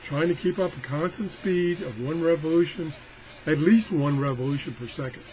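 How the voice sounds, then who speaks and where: A man's voice: fairly high pitch, normal speed, a little mellow. An older man casually demonstrating a product in a rambling, folksy, self-deprecating, grumbling way. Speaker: product reviewer. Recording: clearly noisy amateur recording.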